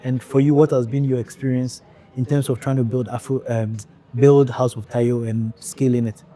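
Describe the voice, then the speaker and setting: A male podcast host who speaks in a measured, reflective way, pausing often as he builds his questions. His voice has a dark, mellow tone and a middle pitch. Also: flat delivery. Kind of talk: conversational interview.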